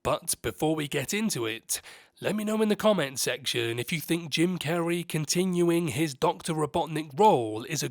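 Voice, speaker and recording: A man's voice: on the high side, dry recording, clean studio sound. A man presenting a scripted news narration as the host of a movie channel.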